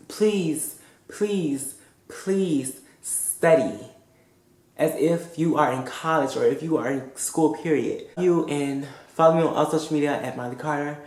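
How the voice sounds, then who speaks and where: A woman's voice: deep voice, darkish tone. A woman giving frank, emphatic advice straight to her viewers, swearing freely and repeating her pleas for emphasis. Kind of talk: casual direct-to-camera advice vlog. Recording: echoey room.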